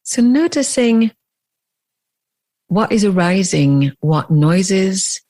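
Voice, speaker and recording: A woman leading a guided meditation as a teacher, speaking in deliberate phrases with long pauses. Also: medium pitch, very animated, warm tone.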